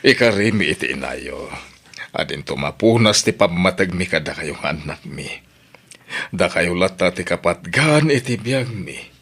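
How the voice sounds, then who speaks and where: A warm, proud father in his middle years, voiced by a man. His voice sits very low and he speaks to his children with gentle, laughing affection. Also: sing-song, measured pace, gravelly, breathy edge. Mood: fond.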